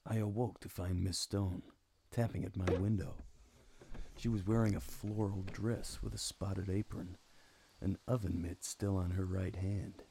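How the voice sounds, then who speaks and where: Man acting a low, gravelly noir detective. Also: level tone, a little slow, noisy background. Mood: brooding. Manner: dry, wry, brooding. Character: world-weary hardboiled male private detective. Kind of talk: noir radio play narration, first person.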